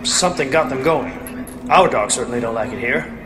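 A man's voice: mid-range voice, animated, a little fast, close to an everyday voice. An actor playing an earnest male expedition member in a radio drama, who explains his theories. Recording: audible background noise.

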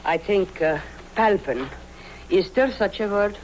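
Actress as matter-of-fact nurse, halting, middling pitch, vintage radio drama, faint noise. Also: female voice, lively intonation, a little mellow, close to an everyday voice. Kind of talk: 1950s radio drama acting. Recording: slightly noisy vintage radio recording.

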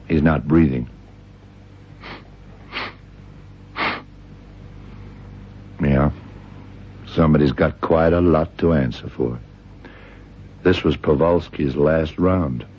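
An actor playing a tough, suspicious American federal investigator in a radio drama, with dry wisecracking delivery. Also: male voice, extremely low pitch, slow, long pauses, slightly rough, exaggerated voice. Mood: grim, accusing. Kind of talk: 1960s-70s radio drama acting. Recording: dull vintage radio recording, music behind.

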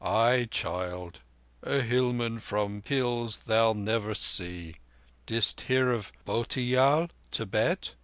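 A man voicing a gentle, weary old Tibetan lama who speaks in solemn, measured, old-fashioned phrases. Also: mid-range voice, no echo. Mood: gentle, dignified.

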